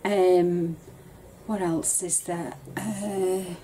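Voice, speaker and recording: A woman's voice: fairly low pitch, level tone, very slow, dark tone, slight background noise. A woman, chatting casually to a desk webcam in her craft room at home, rambling with plenty of ums. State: bunged up with a cold, coughing. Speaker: craft vlogger. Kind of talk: casual chat to camera.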